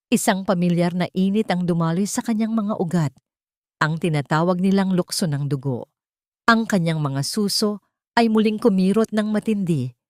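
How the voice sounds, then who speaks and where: A woman's voice: lowish voice, darkish tone, no room sound, clean studio sound. A woman reading scripted dramatic narration in a solemn, emotive storytelling manner.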